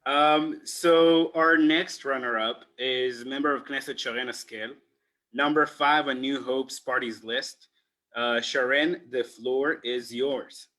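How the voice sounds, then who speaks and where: A man's voice: mid-range voice, fairly even intonation, slow pace, sharp tone, poor audio. A man moderating an online panel, thanking guests and introducing speakers politely.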